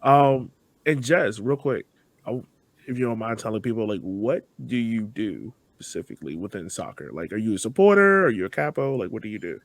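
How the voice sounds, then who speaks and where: A man's voice: on the low side, lively intonation, brisk, no room sound. A man hosting a podcast interview in a casual, informal, slangy way. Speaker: podcast host.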